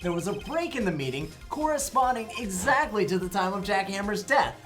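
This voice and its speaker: A man playing a cocky, animated young defense attorney. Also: high-pitched, a little fast. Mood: confident, sardonic. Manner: sarcastic, theatrical, self-satisfied. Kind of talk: amateur fan dub of a courtroom video game. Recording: background music under the voice.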